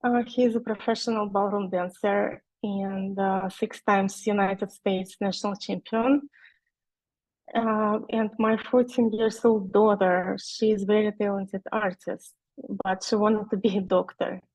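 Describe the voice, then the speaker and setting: A woman in her forties with a Russian accent presents on a video call, talking slowly and carefully and filling pauses with frequent "uh"s. The sound is dry and close, with no room echo. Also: mid-range voice, steady tone, a little mellow. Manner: hesitant, frequent "uh" fillers, slow and careful. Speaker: guest speaker, online seller. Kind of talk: webinar presentation.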